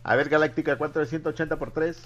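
A man hosting a morning radio show with a voice a touch higher than most men's. He reads listener messages aloud and teases his co-host in a playful, joking way. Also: fairly even intonation, quick pace, lo-fi sound, music in the background.

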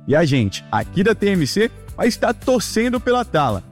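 A man narrates a news feature as a voice-over in a measured way. His voice is somewhat high for a man. Soft music plays underneath. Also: big pitch swings. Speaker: news reporter.

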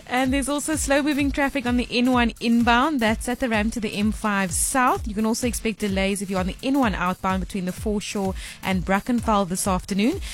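A woman with a South African accent reading a radio traffic report in a brisk, matter-of-fact way. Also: mid-range voice. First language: Afrikaans.

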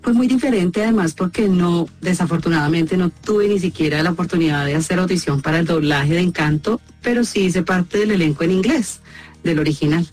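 A woman's voice: lowish voice, brisk, a little mellow, soft background music. A woman with a Colombian accent, a voice actress speaking as an interview guest, explaining and telling anecdotes about her work.

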